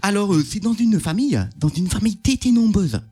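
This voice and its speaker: A man playing an excitable Chinese caricature in a comic radio phone-in sketch, gushing and repeating himself as he tells jokes. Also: high-pitched, brisk, slightly rough. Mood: amused storytelling. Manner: gushing, repeats phrases emphatically.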